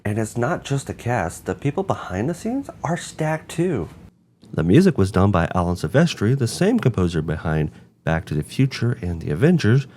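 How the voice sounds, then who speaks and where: A man's voice: on the low side, sing-song, normal speed, dark tone, slight background noise. A man narrating a scripted review as host, with emphatic, rhetorical phrasing. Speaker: host of a video game movie review show.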